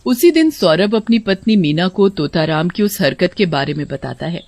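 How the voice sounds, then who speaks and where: A woman's voice: on the low side, lively intonation, normal speed, darkish tone, dry recording. A woman giving scripted narration for a cartoon story.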